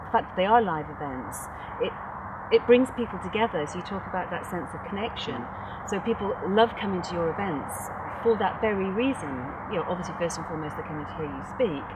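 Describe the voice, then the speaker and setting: Female interviewer, lower register, chatty and affirming, off-mic with heavy traffic noise. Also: far from the mic. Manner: affirming, frequent short agreements. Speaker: interviewer and event organiser.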